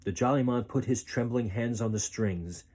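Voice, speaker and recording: A man reading a children's story aloud as a storyteller, expressive and deliberate. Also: mid-range voice.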